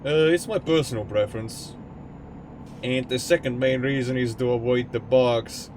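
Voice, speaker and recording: A man's voice: mid-range voice, normal speed, darkish tone, slight background noise. A young man from Estonia with an Estonian accent, a streamer chatting casually and jokingly with his live chat. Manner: rambling and jokey, answering chat.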